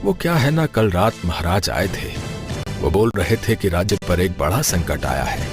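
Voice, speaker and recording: A man's voice: low-pitched, sing-song, moderate pace, music in the background. A man playing a poor, humble Brahmin in a cartoon story, bewildered and pleading in a hesitant, deferential way. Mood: confused, worried. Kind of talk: Hindi moral cartoon story acting.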